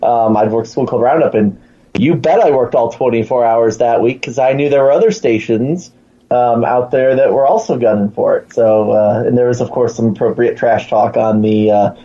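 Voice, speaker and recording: A man with a soft-edged, mellow voice chats casually as a guest. He is playful and joking, and the recording is a bit dull and lo-fi. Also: medium pitch, moderate pace. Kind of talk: casual chat.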